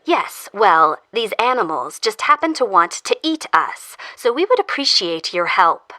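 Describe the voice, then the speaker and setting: A woman's voice: mid-range voice, big pitch swings, measured pace, pushed and bright. An actress playing a stern female government interrogator in an audio drama, speaking in a formal, curt and insistent way. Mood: curt, probing. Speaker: voice actor. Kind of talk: audio fiction drama dialogue.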